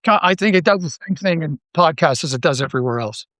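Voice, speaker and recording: A man with a somewhat high-pitched voice talks as a guest in a casual interview. He rambles and restarts, repeating words as he works out his thoughts. The sound is very dry, with no room echo. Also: lively intonation, fast, fairly bright tone. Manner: rambling, thinking aloud with false starts and repeated words. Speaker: interview guest, audio drama writer and creator.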